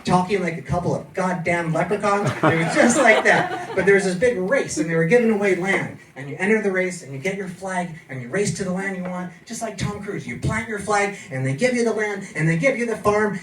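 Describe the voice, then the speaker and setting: A man's voice: high voice, a little fast, few pauses, noisy background. American man doing stand-up in a club. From California. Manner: deadpan, sardonic, tags lines with "okay?". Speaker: stand-up comedian. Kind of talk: stand-up comedy set. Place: comedy club in a bar, live audience. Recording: roomy distant club recording with crowd.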